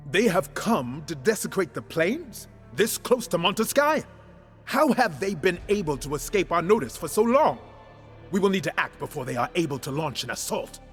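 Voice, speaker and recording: An actor playing an indignant, urgent clan leader in a fantasy game drama. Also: male voice, high-pitched, sing-song, normal speed, soft background music. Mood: alarmed.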